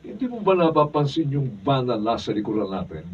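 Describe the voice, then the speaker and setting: Arrogant, combative Filipino policeman for radio drama; rough-edged husky voice, caught off-mic. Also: medium pitch, very animated, brisk, a little mellow. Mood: boastful. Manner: boastful, confrontational. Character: arrogant hot-headed policeman, husband and father, spoiling for fights. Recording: muffled, distant behind-the-scenes studio capture.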